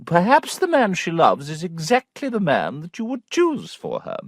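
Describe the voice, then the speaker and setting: A man's voice: a little high, wildly swooping pitch, no echo, studio quality, pitched above the performer's own voice, exaggerated voice. A man putting on a prim, knowing lady. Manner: slow, deliberate, superior. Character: prim, knowing middle-aged gentlewoman aunt. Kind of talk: audiobook character voice.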